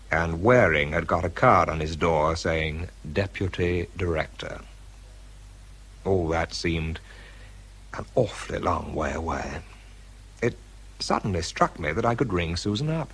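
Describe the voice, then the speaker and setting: Very deep, weary British man. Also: a little slow, a bit bright, husky, heavily acted. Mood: weary, reflective. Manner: weary, introspective, understated. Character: exhausted, self-doubting man, a wartime bomb disposal expert. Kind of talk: British radio drama, first-person narration and dialogue.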